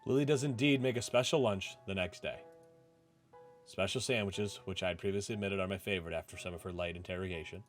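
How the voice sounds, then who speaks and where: A man's voice: low-pitched, quick pace. A man reading a visual novel aloud as first-person story narration. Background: music.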